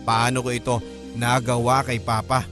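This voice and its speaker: Music plays clearly under a Filipino man's dramatic radio narration. The pitch sits mid-range as he voices a remorseful grown child recounts a family story in the first person. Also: completely flat delivery, moderate pace, close to an everyday voice. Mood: sorrowful. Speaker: story narrator reading a letter.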